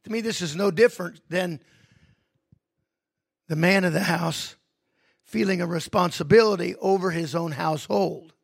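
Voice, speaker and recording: A middle-aged man preaches with a gravelly, husky voice. He talks quietly and reflectively about family, then rises into loud, emphatic preaching. The sound is dry and close. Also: high-pitched, normal speed, pauses often, dry recording. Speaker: pastor. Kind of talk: sermon.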